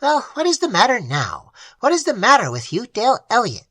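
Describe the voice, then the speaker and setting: A tiny male demon familiar in an audiobook tale, high-pitched and shrill, mocking his master with gleeful malice. The tone is sharp and bright, and the recording sounds close and dry. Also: huge pitch swings, a little fast, slightly rough, dry recording, heavily acted.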